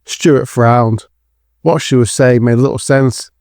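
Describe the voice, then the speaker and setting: A man's voice: mid-range voice, steady tone, slow, darkish tone, smooth, no echo, studio quality. A man narrating a scripted dramatic thriller as the storyteller of an audio drama.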